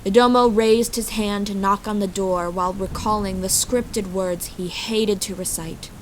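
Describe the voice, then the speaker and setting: A woman narrates a scripted fantasy story in a middle-pitched voice. Steady rain and storm ambience is clearly heard behind her as she reads. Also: a little hiss or hum, low-quality recording.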